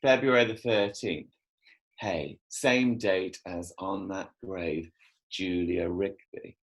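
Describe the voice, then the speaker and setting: A man's voice: low voice, slow, low-quality recording. An actor playing a laid-back, playful male companion, teasing and casual, in a scripted drama read over a video call.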